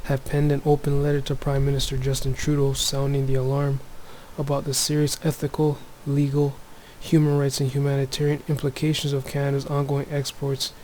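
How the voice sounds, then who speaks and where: A man with a Toronto accent, talking low and soft in a room at home while he reads and comments on news for a religious video. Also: monotone, brisk, few pauses, a little hiss or hum, rough recording. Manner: speaks softly, many restarts and fillers. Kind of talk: casual religious commentary, reading news aloud.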